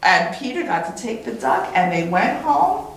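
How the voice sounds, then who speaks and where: A woman reading a children's story as a teacher, in an expressive, animated way with dramatic pauses and repetition. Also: medium pitch, a little hiss or hum. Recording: very echoey room.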